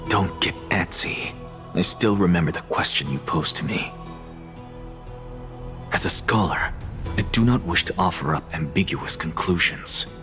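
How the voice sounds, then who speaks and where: A man's voice: low-pitched, unhurried, pauses often, over music. An actor playing an aloof, defiant male scholar in a game, speaking in a cool, lecturing, disdainful way. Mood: measured.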